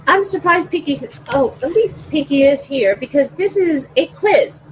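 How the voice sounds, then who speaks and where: A woman with a high voice runs a casual group word game, calling on players and prompting them. The recording carries some background noise. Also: lively intonation, normal speed, rough recording. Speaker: host running a word game.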